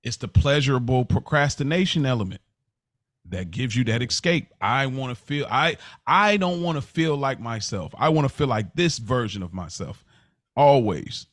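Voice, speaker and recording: An American man hosting a casual podcast discussion, telling stories in an animated way, acting out quotes and repeating phrases for emphasis. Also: mid-range voice, normal speed, no echo, clean studio sound. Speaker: podcast host.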